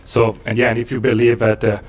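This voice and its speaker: Flat-voiced young man. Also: medium pitch, a little fast. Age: 20s. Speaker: evangelization director, panelist.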